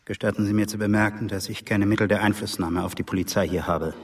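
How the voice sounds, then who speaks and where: An actor playing a stolid, corpulent secret agent in a radio drama, formal, guarded and deferential. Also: male voice, on the low side, steady tone, quick pace, close to an everyday voice. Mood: guarded deference. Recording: distant mic, faint noise.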